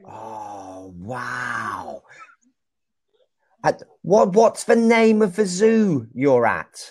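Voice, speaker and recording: Young guy on a video call w/ earphone mic, talks real slow to a kid, voice bounces all over. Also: medium pitch. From England. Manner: slow, clear and lively, often exclaims and laughs. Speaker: online English teacher. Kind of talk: slow, encouraging chat with a young child. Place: small room indoors. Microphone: video call with wired earphone mic.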